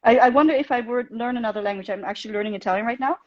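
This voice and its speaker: A woman from the Netherlands with a Dutch accent, guesting on a fan live stream over a video call, friendly and quick to laugh. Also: on the high side. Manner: friendly, laughs often, soft-spoken. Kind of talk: casual chat. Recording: muffled video call audio.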